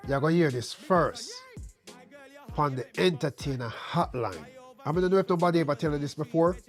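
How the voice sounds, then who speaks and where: Hyped podcast host, higher voice. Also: male voice, lively intonation, normal speed, soft background music. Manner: hyped, emphatic patois hype-man delivery. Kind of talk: podcast interview.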